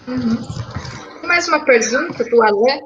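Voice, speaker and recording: A woman's voice: sits high, lively intonation, takes it slowly, a bit bright. A Brazilian woman hosting a casual interview, reading out viewer questions and checking in with frequent tag questions. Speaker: host, English teacher. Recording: dull lo-fi stream audio with noise.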